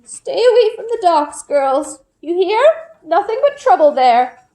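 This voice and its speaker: A woman's voice: very high-pitched, very animated, measured pace, warm tone, echoey room, pitched above the performer's own voice, exaggerated voice. A woman voicing a disgruntled, suspicious elderly village woman with a strained, scolding delivery. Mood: warning. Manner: scolding, grumbling.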